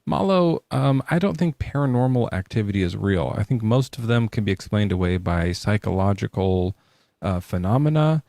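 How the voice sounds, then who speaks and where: A man's voice: fairly low pitch, lively intonation, normal speed, gravelly, warm tone, no room sound. A male podcast host talking casually from his garage in a jokey, loose way, with plenty of swearing. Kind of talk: casual debate chat. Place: home garage.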